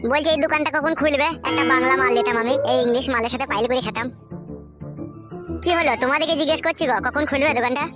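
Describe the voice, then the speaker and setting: A woman acts out a brash young male cartoon troublemaker with a fairly high voice. The talk races along, slangy, bossy and foul-mouthed, with clear music behind it. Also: fast talker, lightly acted. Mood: pleading, matey. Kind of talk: comic cartoon dialogue.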